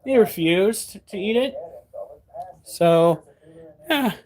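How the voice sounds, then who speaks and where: A man's voice: high-pitched, expressive, slow pace, darkish tone. A man in his thirties hosting a pet channel, talking casually and bluntly, breaking into profane rants. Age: 30s. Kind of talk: casual monologue.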